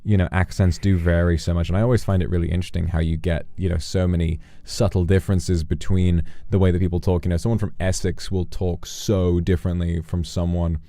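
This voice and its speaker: A man with a softened British accent hosting a casual stream chat, talking in a measured, articulate way. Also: low-pitched, little pitch movement, no echo. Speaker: streamer host.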